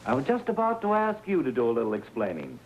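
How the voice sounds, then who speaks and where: An actor playing a calm, probing police lieutenant in a 1950s TV crime drama, speaking in a measured, drily sardonic way. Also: male voice, medium pitch. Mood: dry, probing. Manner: measured, dry, probing questioning. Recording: noisy 1950s TV soundtrack.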